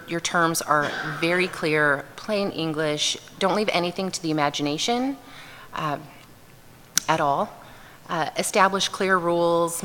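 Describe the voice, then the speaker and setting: Low, bright-toned woman in a conference hall. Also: a little hiss or hum. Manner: relaxed, practical tips delivered with frequent pauses. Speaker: conference panel speaker, affiliate manager. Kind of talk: conference presentation. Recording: reverberant conference hall with faint ambience.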